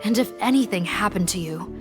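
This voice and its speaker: A woman's voice: mid-range voice, lively intonation, fairly quick, natural voice. An actress playing a weary, dutiful woman investigator in a video game, formal and cautious in manner. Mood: concerned, dutiful. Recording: game music behind the voice.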